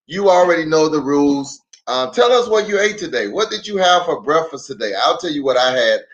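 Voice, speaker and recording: A man's voice: fairly high pitch, fairly quick, dull audio. A middle-aged man, a pastor, reading a children's storybook aloud with playful, chatty asides to the young listeners. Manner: expressive, playful, addresses children directly.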